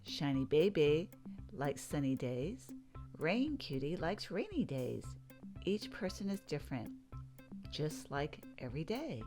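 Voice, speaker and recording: Woman reading kids book aloud, low voice, takes it slow, music behind. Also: very animated. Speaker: children's read-aloud narrator. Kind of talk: scripted storybook narration.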